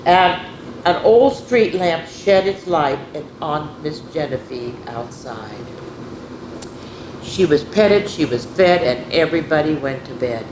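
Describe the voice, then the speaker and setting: A woman's voice: low-pitched, lively intonation, takes it slowly. A woman hosting a children's story time, reading picture books expressively and chatting directly to her young listeners. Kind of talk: animated picture book reading with chatty asides. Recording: echoey room, clearly noisy background hum.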